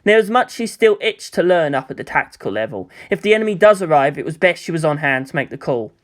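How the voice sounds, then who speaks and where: A woman's voice: low voice, animated, fast talker. A woman reading scripted fiction aloud as a dramatic audiobook narrator.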